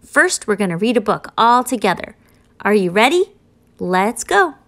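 A woman art teacher giving children an encouraging step-by-step drawing lesson in clear, simple instructions. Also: medium pitch, very animated, normal speed.

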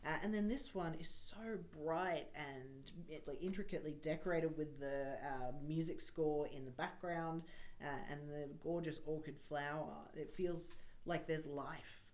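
A woman's voice: deep voice, a little slow, darkish tone. A woman, interviewing an author with effusive praise and many fillers. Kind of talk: friendly interview. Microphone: table mic on a stand.

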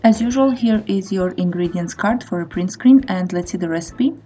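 A woman's voice: medium pitch, normal speed, warm tone. A woman in her thirties giving a casual recipe voice-over as a cooking vlogger. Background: faint guitar music.

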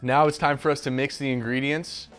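A man's voice: mid-range voice, flat delivery, a little fast, a bit bright, faint music behind. A young man hosting a casual home cooking show in a kitchen, chatting upbeat while talking to a silent mascot beside him, on a lapel mic. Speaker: host.

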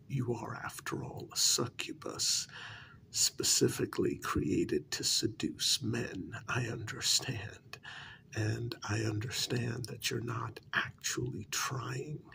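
A man playing a flustered, apologetic talent agent in an ASMR role-play, speaking softly in a breathy, gravelly half-whisper with hesitant phrasing. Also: lowish voice, full character voice. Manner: slow, hesitant, halting. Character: apologetic, easily flustered male talent agent for supernatural creatures.

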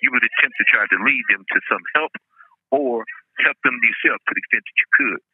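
A man's voice: mid-range voice, wildly swooping pitch, fairly quick, creaky, slightly rough. A man calling in by telephone, talking casually and reflectively in a philosophical way, repeating himself for emphasis. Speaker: guest calling by phone. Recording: tinny, echoey telephone line.

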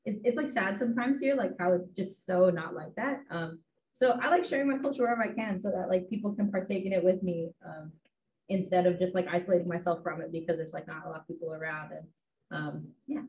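A woman's voice: lowish voice, quick pace, darkish tone. A young woman from the Los Angeles area hosting a casual panel, chatty with lots of fillers and easy laughs. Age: young adult. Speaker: panel host and student staffer. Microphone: video call. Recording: dull video call audio.

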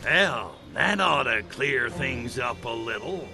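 A man's voice: medium pitch, lively intonation, slow, gravelly, music in the background. A man playing a cocky, slangy, wisecracking alien invader in a video game cutscene. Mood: sarcastic. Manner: brash, slangy wise guy.

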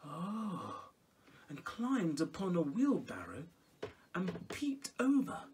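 A middle-aged man telling a children's story in a theatrical, expressive way. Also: high voice, slow, slight background noise. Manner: theatrical, expressive, with hushed whispers and exclamations. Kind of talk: animated storybook reading. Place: small home music room indoors.

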